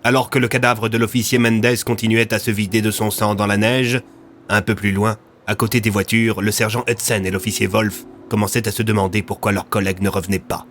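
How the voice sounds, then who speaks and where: A man's voice: lowish voice, flat delivery, fairly quick, a bit bright, a little hiss or hum. A man narrating a scripted audio fiction serial as a dramatic storyteller.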